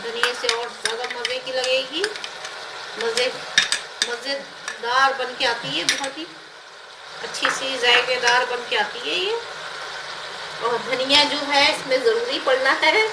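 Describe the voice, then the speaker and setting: A woman hosting a home cooking video, talking through each step in a casual, chatty way with small hesitations as she cooks at her stove. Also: high-pitched. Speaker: home cooking channel host. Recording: roomy kitchen with loud cooking noise. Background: frying, sizzling and stirring in a pressure cooker.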